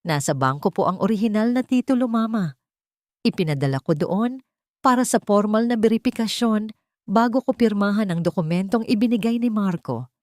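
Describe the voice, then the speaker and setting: A woman's voice: medium pitch, animated, normal speed, a little mellow, no room sound, clean studio sound, pitched above the performer's own voice, lightly acted. A woman voicing a betrayed, coldly composed wife in an audio story, polite and controlled, with a Filipino accent. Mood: cool composure.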